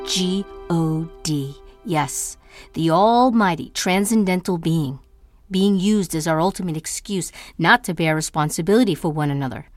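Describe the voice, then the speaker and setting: Woman acting a brooding, low-voiced confessional artist. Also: no room sound, natural voice. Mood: bitter, confessional. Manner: intense, deliberate, theatrical. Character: brooding, self-doubting woman artist baring her psyche. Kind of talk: dramatic introspective monologue.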